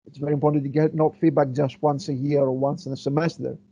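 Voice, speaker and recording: A man's voice: fairly high pitch, flat delivery, fast, dark tone, husky, lo-fi sound. A man from Greece, a historian answering interview questions thoughtfully, with many fillers and restarts as he searches for words. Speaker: guest historian.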